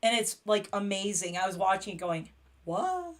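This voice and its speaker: A woman hosting a casual makeup livestream, chatty and excitable, laughing often. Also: mid-range voice, moderate pace. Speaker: beauty livestream host.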